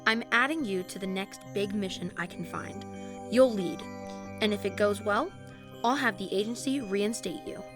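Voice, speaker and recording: Actress as a female superior officer for audio drama, mid-pitched, deliberate, in charge with a teasing edge. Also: music in the background, close to an everyday voice. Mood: firm, persuasive. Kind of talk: scripted audio drama acting.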